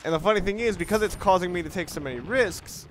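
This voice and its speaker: A man's voice: high-pitched, fast talker. A man giving casual, rambling video game commentary, explaining his strategy as he plays and swearing mildly. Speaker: gamer, let's play host. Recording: noisy, game ambience behind voice. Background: video game sounds.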